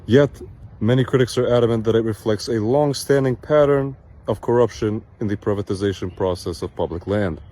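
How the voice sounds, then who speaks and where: Measured male news presenter. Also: fairly low pitch. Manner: measured, even newsreader delivery. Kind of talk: scripted news report.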